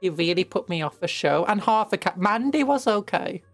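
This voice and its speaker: A young British woman chatting on a livestream, her voice a little croaky. She rambles playfully, stumbling over restarts and now and then breaking into squeals. Faint music plays behind her. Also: mid-range voice, lively intonation, normal speed. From Britain. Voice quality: croaky from hay fever. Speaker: streamer painting miniatures. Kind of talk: casual livestream chat.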